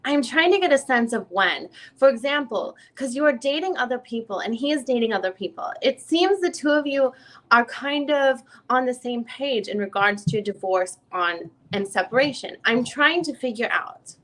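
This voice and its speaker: Woman playing a female lawyer, higher-pitched voice, probing, informal, rephrasing questioner, dramatic legal reading. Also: expressive, moderate pace, fairly bright tone. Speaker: reader playing a deposing attorney.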